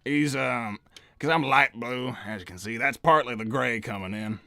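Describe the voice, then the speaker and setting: A man's voice: mid-range voice, normal speed, vocal fry, pushed and bright, no echo, studio quality. A man voicing an old hedgehog uncle in a cartoon interview, hesitant and evasive, stammering and repeating himself as he rambles. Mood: hesitant, rambling. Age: older. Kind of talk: improvised in-character interview.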